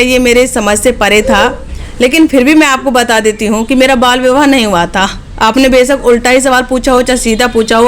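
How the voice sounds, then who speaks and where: Woman, late twenties, vlogger, casual chat, frank and direct, fairly high voice. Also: a little hiss or hum. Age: late 20s. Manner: chatty, direct, frequent "okay" check-ins.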